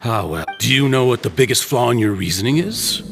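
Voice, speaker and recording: A middle-aged merchant villain in a dramatic game cutscene. He is measured and condescending, feigning fatherly hurt in a lively, swooping delivery, with faint music underneath. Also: mid-range voice, gravelly. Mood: wounded composure. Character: smooth-talking older businessman villain, patronizing, feigned fatherly hurt.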